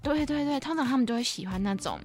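A woman's voice: high voice, expressive, fast, a little mellow. A young woman with a Taiwanese Mandarin accent chats casually, reacting expressively with a teasing streak. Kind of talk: casual podcast chat. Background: background music.

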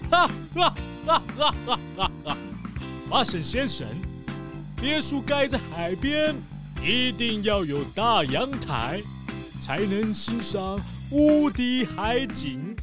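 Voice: medium pitch, sing-song, takes it slowly, music in the background. A boisterous seal tycoon. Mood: hearty. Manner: hammy, laughs a lot, drops English words.

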